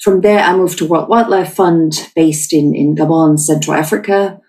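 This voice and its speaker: A low-voiced woman in her forties with a Dutch accent, speaking in an unscripted podcast interview. She often restarts and repeats words as she talks. Her voice sits a little away from the microphone. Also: steady tone, normal speed, darkish tone, recorded from a distance, faint music behind. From Netherlands. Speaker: guest.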